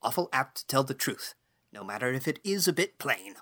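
Woman as a gruff, blustery old farmer. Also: very deep voice, sing-song, fairly quick, fairly bright tone, pitched below the performer's own voice, exaggerated voice. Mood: brisk, forgiving. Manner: blustery, blunt, folksy exclamations. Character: gruff, outspoken, irritable but kindly old male farmer. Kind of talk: audiobook character dialogue.

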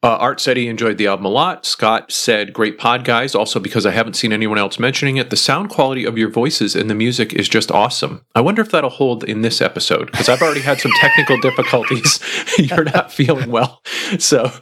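A man co-hosting a podcast, reading listener comments aloud in a relaxed way with many pauses. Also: mid-range voice.

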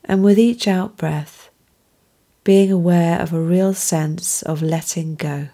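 Very slow, mellow woman guiding meditation. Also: lowish voice. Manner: calm, soothing, unhurried with gentle phrasing. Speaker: meditation guide. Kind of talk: guided mindfulness meditation.

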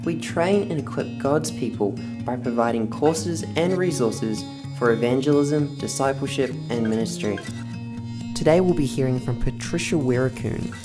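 A podcast announcer reads a scripted introduction slowly and evenly, at a middle pitch, with clear plucked guitar music playing underneath the voice-over. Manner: slow, measured delivery.

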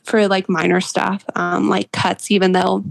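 Female interview guest, author type, gravelly vocal fry, close dry sound, considered and halting conversational delivery. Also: mid-range voice, level tone, no room sound. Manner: halting, pausing to consider points. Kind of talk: casual interview discussion.

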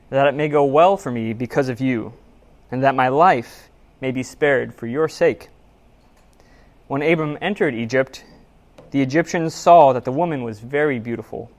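A man delivers a sermon in a church sanctuary, reading scripture and then telling a story. He sounds earnest, measured and conversational. His voice sits at an ordinary middle pitch. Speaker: preacher.

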